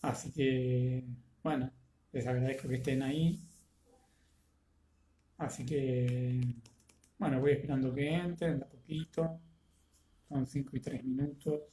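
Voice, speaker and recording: A man with a Rioplatense Spanish accent, congested with a cold and fever, greeting students by name before a live online lecture, with many halting fillers. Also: mid-range voice, steady tone, dark tone, reverberant, a little hiss or hum. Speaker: teacher.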